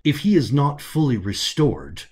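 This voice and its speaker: An anxious, compassionate artificial being voiced by a man speaks in a science fiction audiobook. It is gender-neutral and gentle, and it stutters, catching on the first sounds of words. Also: mid-range voice, sing-song, a little slow, husky, full character voice. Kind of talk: audiobook character dialogue.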